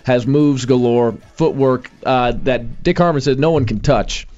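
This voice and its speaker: A man's voice: medium pitch, measured pace, darkish tone, highs cut off. A young man hosting sports talk, chatting casually with many fillers and restarts. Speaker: sports radio host.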